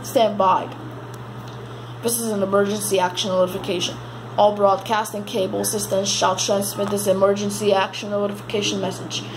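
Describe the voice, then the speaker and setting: A woman reads a mock emergency broadcast as an amateur announcer, halting and repeating words as she stumbles through the script. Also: medium pitch, little pitch movement. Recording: noisy amateur home recording.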